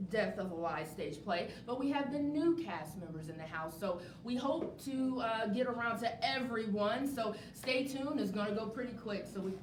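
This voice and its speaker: Upbeat lady host, kinda high voice, repeats stuff for emphasis, noisy rumbly room. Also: expressive, normal speed, few pauses. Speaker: host of a live community interview show. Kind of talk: enthusiastic live event hosting and interviewing. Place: indoor venue with a live studio audience. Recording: roomy, distant mic with clear rumbling noise.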